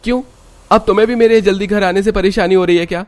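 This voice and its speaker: A man's voice: high voice, fairly even intonation, fast talker, close to an everyday voice. An actor playing a hot-tempered, troubled working father in a cartoon story, agitated and complaining. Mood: angry.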